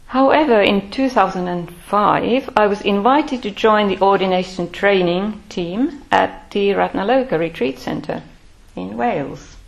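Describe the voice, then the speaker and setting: Older woman telling her life story slow and thoughtful, lots of pauses. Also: medium pitch, gravelly. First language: Finnish. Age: 60s. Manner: measured, thoughtful, pausing often. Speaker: Buddhist order member. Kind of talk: reflective personal life-story talk.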